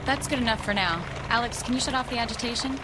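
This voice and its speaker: A woman playing a brisk, dry-witted lab researcher in an audio drama, speaking in a curt, businesslike way. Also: on the high side, heavy background noise, close to an everyday voice.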